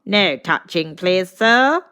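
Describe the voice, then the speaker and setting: A woman acts out a male waiter from a storybook. He is formal and deferential, courteous in every phrase, with very animated intonation. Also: fairly low pitch, slow pace, heavily acted. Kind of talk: storybook character voice.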